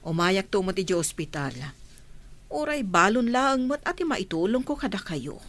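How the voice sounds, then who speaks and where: A woman's voice: medium pitch, very animated, normal speed, natural voice. A woman with an Ilocano Filipino accent playing a caring, inquisitive older village aunt in a radio drama. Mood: concerned, inquisitive.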